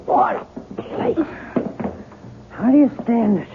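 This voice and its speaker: A woman's voice: on the high side, sing-song, takes it slowly, background noise. An actress playing a blunt, practical, nosy mother-in-law in a 1970s radio drama. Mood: nosy, disapproving. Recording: muffled narrow-band old radio broadcast.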